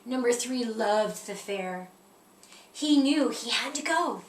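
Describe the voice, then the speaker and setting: A woman reading a children's picture book aloud in an expressive storytelling style. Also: a little high, slow. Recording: roomy echo, distant mic, faint noise.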